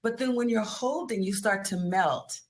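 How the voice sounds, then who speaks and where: A woman's voice: medium pitch, moderate pace. A woman from the United States telling personal stories in a casual interview as a therapist guest, expressively acting out reactions. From United States, born in New York. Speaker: guest, tantric therapist and psychotherapist.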